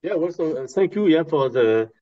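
An older man asking questions haltingly, with many pauses, on a dull-sounding video call. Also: fairly high pitch, animated. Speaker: panel participant asking questions. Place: room at home, on a video call.

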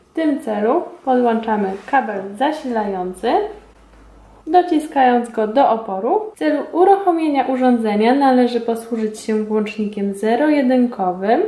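A woman in her thirties giving formal, measured scripted instructions as a trainer in a small indoor room. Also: fairly high pitch, warm tone. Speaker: instructor presenting a beauty device. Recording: roomy echo, distant mic.

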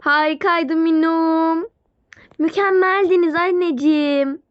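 A woman's voice: extremely high pitch, fairly even intonation, a little slow, dry recording. A woman voicing a doting, gushing mother who coos over her little children in a hobby doll-game roleplay. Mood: gushing, proud. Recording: muffled audio under loud music. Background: loud music.

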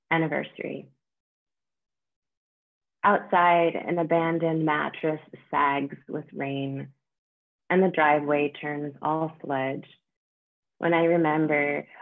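A woman in her thirties, a poet, reading in a measured, solemn manner over a video call. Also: low voice, gravelly. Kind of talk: poetry reading. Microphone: computer mic on a video call. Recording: dull, band-limited video-call audio.

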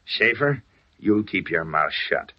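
An actor playing a threatening racketeer thug in old-time American radio style, talking in slang. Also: male voice, low voice, sing-song, measured pace, husky, heavily acted. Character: menacing, gravelly racketeer thug, man. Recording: muffled vintage radio recording.

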